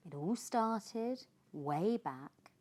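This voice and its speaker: A woman with a British accent reading a children's story aloud in rhyme, in an expressive, dramatic way. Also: mid-range voice. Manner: slow, dramatic, animated.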